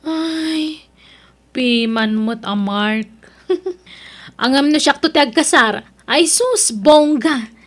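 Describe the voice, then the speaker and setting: A woman's voice: sits high, lively intonation, very slow, long pauses, full character voice. A woman playing a boastful, gossipy young woman in an audio drama, haughty and belittling, with an Ilocano accent. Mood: snobbish. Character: boastful, gossipy young woman proud of her professional boyfriend.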